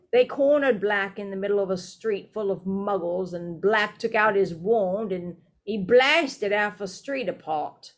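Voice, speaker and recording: A woman voicing an excitable, gossipy young male bus conductor who chatters loudly and dramatically at a slow, deliberate pace. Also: medium pitch.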